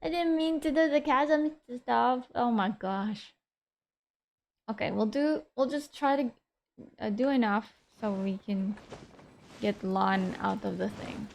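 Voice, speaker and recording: A woman's voice: on the high side, slow, gravelly, a little hiss or hum. A woman streaming a video game, chatting casually, exclaiming and laughing often as she plays. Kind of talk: casual gameplay commentary.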